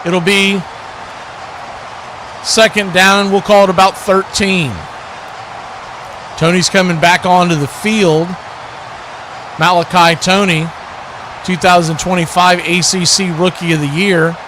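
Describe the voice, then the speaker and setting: A man's voice: high-pitched, little pitch movement, measured pace, long pauses, noisy background. A man giving live American football play-by-play in clipped running description that lifts on the action. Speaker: play-by-play sports commentator, watch party host.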